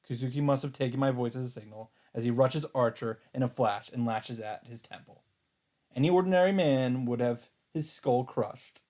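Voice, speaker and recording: A man's voice: medium pitch, darkish tone. A man hosting a let's play, reading game narration aloud with casual asides. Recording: game music faintly behind voice. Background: faint game music.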